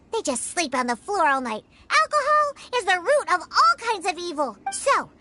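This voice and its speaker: Very high-pitched feisty little cat-girl. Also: bright, forceful tone, exaggerated voice. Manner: emphatic, grumbling, childlike. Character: feisty little cat-girl bartender who hates alcohol. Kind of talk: video game character acting.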